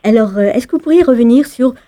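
Mellow-voiced woman interviewer. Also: on the high side, expressive, fast talker. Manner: long winding questions with frequent fillers. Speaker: radio interviewer. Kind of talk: radio interview questions.

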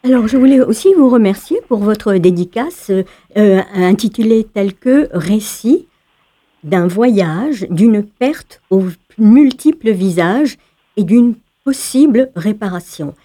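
A woman's voice: mid-range voice, animated, slow pace, warm tone. A woman interviewer on the radio asking long, winding questions with frequent fillers.

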